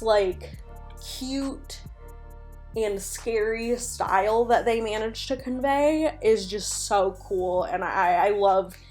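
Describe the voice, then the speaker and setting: A woman's voice: medium pitch, a little mellow. A woman giving casual, chatty commentary as a video host, speaking with enthusiasm and emphasis. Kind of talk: casual commentary on an online animated horror series.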